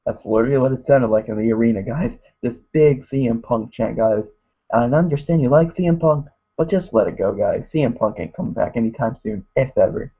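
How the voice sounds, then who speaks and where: A man reviewing a wrestling show in a rambling casual way, saying "guys" constantly and getting hoarse. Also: mid-range voice, fairly quick. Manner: mocks wrestlers in put-on voices. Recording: tinny phone-like lo-fi audio.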